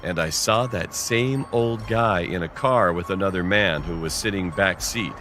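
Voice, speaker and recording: A man's voice: sits low, moderate pace, pushed and bright, soft background music. A man narrating a scripted first-person horror story.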